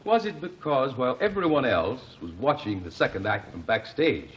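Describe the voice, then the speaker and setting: An actor playing a methodical male courtroom investigator who presses accusations in a measured way, in an old radio drama. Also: mid-range voice, lively intonation, close to an everyday voice. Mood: accusing. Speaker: lead detective. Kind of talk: 1940s radio acting. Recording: dull old radio broadcast with faint hiss.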